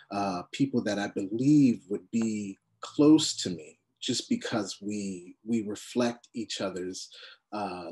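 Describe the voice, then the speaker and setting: A man speaking as a panelist in a reflective discussion, halting and searching for words with many restarts. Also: on the low side, darkish tone.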